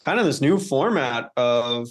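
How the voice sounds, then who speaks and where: A man's voice: medium pitch, lively intonation, measured pace. A man hosting a podcast interview in a casual style, feeling his way through questions with tentative, rambling phrasing.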